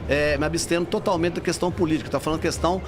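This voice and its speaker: A man's voice: on the high side, fairly even intonation, rapid-fire. A middle-aged Brazilian man speaking enthusiastically and explaining things in a conversational interview. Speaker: public bank housing executive, podcast guest. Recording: busy trade fair background noise.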